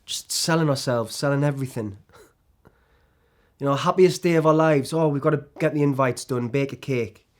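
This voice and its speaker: A man's voice: mid-range voice, moderate pace, lightly acted. A man performing a dramatic screen monologue as a disillusioned, self-loathing celebrity actor, sardonic and emotionally exposed. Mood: sarcastic.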